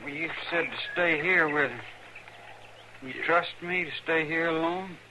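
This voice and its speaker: An actor playing a simple, troubled young frontiersman with a rural American drawl, halting and plain-spoken, in a radio western. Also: on the high side, lightly acted. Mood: uncertain. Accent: rural American West drawl. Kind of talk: old-time radio western acting. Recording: old radio recording with faint hiss.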